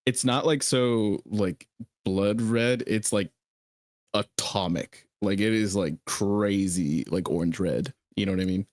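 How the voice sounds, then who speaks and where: A man in casual fan chat as a co-commentator, halting as he searches for words. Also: on the low side, a little mellow, no room sound, clean studio sound.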